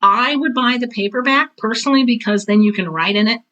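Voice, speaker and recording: A woman's voice: fairly high pitch, fairly quick, fairly bright tone, muffled recording. An older woman speaking casually as an interview guest, blunt and candid, with a self-deprecating streak. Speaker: podcast guest, author and drama teacher.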